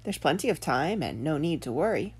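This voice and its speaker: A woman's voice: low-pitched, sing-song, a little fast, husky, heavily acted. A woman voicing a big, breezy, scoffing woodman who exclaims in a children's tale.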